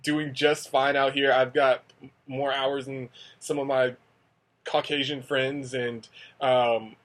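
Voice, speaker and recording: A man's voice: medium pitch, flat delivery, takes it slowly, fairly bright tone. Young man, mid-range voice, casual vlog, rambling, lots of fillers, English teacher. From United States. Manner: rambling, conversational, many fillers. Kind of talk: casual talking-head vlog.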